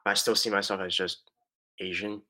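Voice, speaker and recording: Deep-voiced man, hesitant and halting. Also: level tone, pushed and bright. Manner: hesitant, halting, with many "um" and restarts. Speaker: interview guest. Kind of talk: casual conversation.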